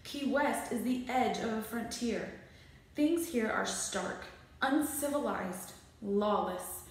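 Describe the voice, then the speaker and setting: A woman's voice: a little high, slow pace. A woman voicing a self-important, scornful young boy detective from a storybook, grandly dramatic. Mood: grandiose. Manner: pompous, deadpan grandiosity. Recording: very echoey small bare room.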